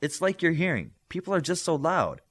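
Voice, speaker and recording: A man voicing a bold, brisk, teasing teenage girl who reads minds, in an audiobook story. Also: on the high side, big pitch swings, fairly bright tone, husky, pitched above the performer's own voice, heavily acted. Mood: cheerful.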